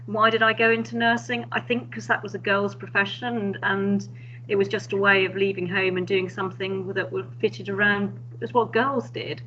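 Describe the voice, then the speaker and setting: A woman's voice: mid-range voice, flat delivery, normal speed, sharp tone, lo-fi sound, a little hiss or hum. A middle-aged woman speaking as a panelist, thoughtful and candid, talking fluently about her career. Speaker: panelist, nursing academic. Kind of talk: panel discussion on video call.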